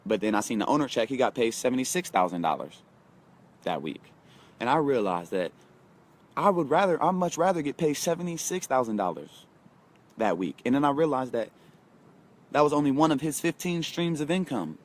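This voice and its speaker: A man's voice: medium pitch, sing-song, a little fast. A man in his twenties giving a casual motivational talk, emphatic, repeating himself and speaking straight to his viewers. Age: 20s. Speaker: motivational vlogger.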